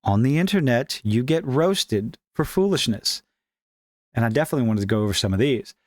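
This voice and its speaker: A man's voice: mid-range voice, lively intonation, fairly quick, a little mellow, no room sound, clean studio sound. A man hosting a casual commentary show, reading and reacting to online posts in an opinionated, wry, informal way.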